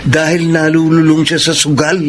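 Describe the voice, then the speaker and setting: Actor as blunt brother-in-law, noisy background. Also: male voice, a little high, monotone, moderate pace, lightly acted. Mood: exasperated. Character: Sammy, frank exasperated older brother-in-law. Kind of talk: Filipino radio drama acting.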